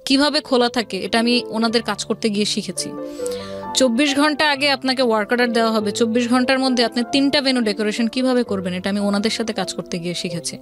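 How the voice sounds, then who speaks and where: A woman's voice: fairly high pitch, fast talker, over music. A woman with a Bangladeshi accent telling her story earnestly as an interview guest, stressing by repetition and mixing in English words. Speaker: interview guest, event planner.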